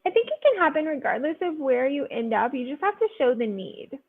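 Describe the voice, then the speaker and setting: A woman's voice: sits high, big pitch swings, fairly quick. A woman family physician speaking frankly and chattily in a conversational interview, with lots of fillers, heard over a video call. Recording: tinny phone-like line.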